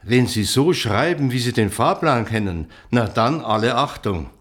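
An actor as a hearty innkeeper in a small-town drama. He banters chummily, with lively swings in a medium-pitched voice. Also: normal speed, natural voice. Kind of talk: naturalistic drama dialogue.

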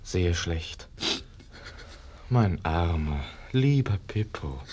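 An actor playing a sorrowful, tender disciple bearing grave news, with deliberate stage diction in a 1950s German radio drama. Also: male voice, low voice, lively intonation, dark tone, a little hiss or hum, heavily acted.

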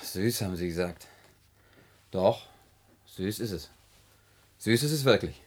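Gruff scruffy sailor guy around forty, easygoing, a bit shy. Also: deep voice, expressive, measured pace. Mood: awkward guest. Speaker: dubbing actor. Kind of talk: German film dub.

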